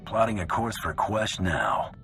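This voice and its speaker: A man playing a cocky male bounty hunter in a video game, with a gruff voice and a dry, sardonic manner. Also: on the low side, very animated, a little slow, fairly bright tone, exaggerated voice. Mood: wry. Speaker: player character in a video game. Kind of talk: video game cutscene acting. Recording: music behind the voice.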